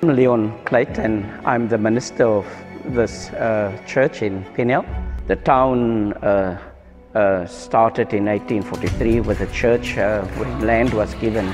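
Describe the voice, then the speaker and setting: A middle-aged South African man, a church minister, speaking in a measured, hesitant way with many fillers, recorded on a lapel mic in a small church. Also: fairly low pitch, steady tone. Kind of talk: documentary interview. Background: background music.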